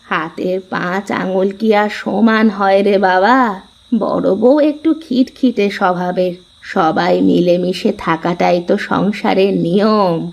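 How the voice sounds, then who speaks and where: A woman voicing a gentle, patient old mother-in-law in a cartoon story, soothing and advising. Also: mid-range voice, fairly even intonation, measured pace, gravelly. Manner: soothing, advising, affectionate address.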